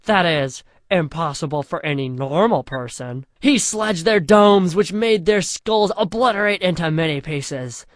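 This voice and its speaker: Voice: expressive, a little slow, few pauses, dry recording. Mock-epic storyteller, dry close sound. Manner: mock-epic, shouts the dialogue and drops low for asides. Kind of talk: comedic reading of a crude parody fan story.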